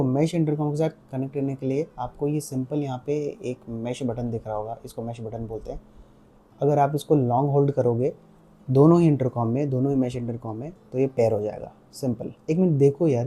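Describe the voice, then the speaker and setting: A man's voice: mid-range voice, fairly even intonation, a little fast, dark tone. A man with an Indian accent giving a casual product review, explaining step by step and mixing Hindi with English. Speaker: motorcycle gear reviewer.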